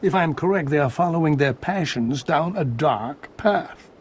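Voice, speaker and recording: High-voiced, pompous Jedi master. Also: male voice, animated, a little slow, a little hiss or hum. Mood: grave, admonishing. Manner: formal, pompous, moralizing. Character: stern, suspicious, disapproving Jedi master. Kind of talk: video game voice acting.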